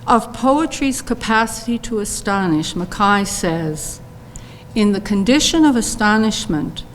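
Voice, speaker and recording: An older woman, giving a formal scripted introduction with careful, deliberate phrasing. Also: medium pitch. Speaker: poet laureate introducing a poet. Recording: long hall reverb, faint noise.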